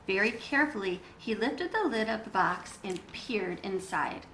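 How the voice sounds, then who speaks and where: A woman reading a children's story aloud in an expressive, emphatic, teacherly style. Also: medium pitch, moderate pace. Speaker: children's read-aloud reader. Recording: roomy echo with background noise.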